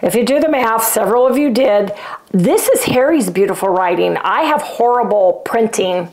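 A sick older woman chats folksily to her viewers from her home kitchen, her voice hoarse and gravelly with frequent throat clearing. She talks in a relaxed, homey way, recorded close on a lapel mic. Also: a little high, normal speed, rough recording. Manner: chatty and folksy, addresses viewers as "you guys". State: sick, losing her voice, coughing. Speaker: keto cooking channel host. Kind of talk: casual vlog chat to viewers.

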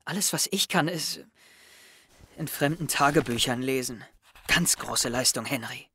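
A man's voice: mid-range voice, unhurried, pushed and bright, slightly rough, exaggerated voice. A young man playing an anxious, withdrawn, self-doubting teenage schoolboy in an audio drama. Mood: brooding. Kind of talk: audio drama dialogue and inner monologue.

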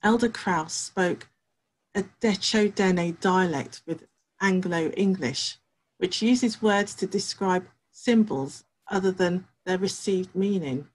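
Woman reading a careful academic talk, husky. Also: on the low side, poor audio. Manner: measured, careful reading with pauses. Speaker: researcher. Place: home study with bookshelves.

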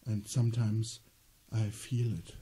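A middle-aged man from northern Germany with a German accent, telling a slow, gentle solo theater story. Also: on the low side, flat delivery, breathy edge. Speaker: theater performer.

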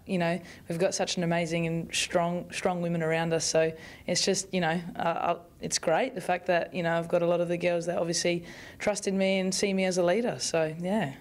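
A young Australian woman speaks into a cluster of press microphones in a club media room. Her voice sits low and stays fairly flat. She answers in a relaxed, rambling way, full of fillers and restarts. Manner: chatty, with many fillers and restarts, laughs easily. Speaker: football player at a press conference. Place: club media room in front of a sponsor backdrop. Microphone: bunch of press microphones on the table.

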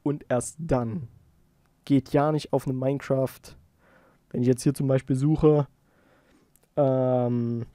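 A man giving a casual software tutorial, informally thinking aloud as he goes. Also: mid-range voice, fairly even intonation, takes it slowly, pauses often, dry recording, clean studio sound. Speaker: tutorial host.

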